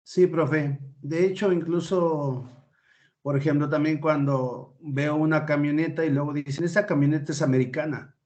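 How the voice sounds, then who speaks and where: A male student asks his teacher questions in class, halting and unsure of his words. His voice sits a little high for a man. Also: steady tone, a little mellow.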